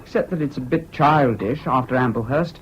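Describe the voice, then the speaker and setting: An actor playing a whimsical, impulsive young English student in a radio drama, talking in a chatty, flippant way. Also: male voice, mid-range voice, fairly quick, natural voice. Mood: eager, whimsical. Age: young adult. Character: whimsical, impulsive young male Cambridge student, evasive charmer. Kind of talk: 1970s British radio drama acting. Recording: dull, hissy old radio recording.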